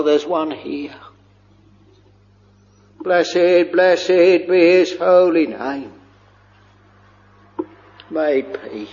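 A man preaching a slow, fervent sermon, drawing out his words and sometimes lifting into a chant-like lilt. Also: fairly high pitch, a little mellow. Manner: drawn-out, intoning, breaks into a chant-like lilt. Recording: dull lo-fi recording with long hall reverb.

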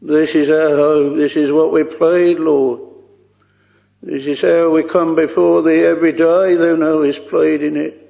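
A man prays aloud in a slow, solemn, pleading way, using old-fashioned thee and thou. His voice is very gravelly and even, with little rise or fall. The lo-fi recording carries a long reverb from a large church hall. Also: a little high. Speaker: minister leading prayer. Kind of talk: extempore congregational prayer.

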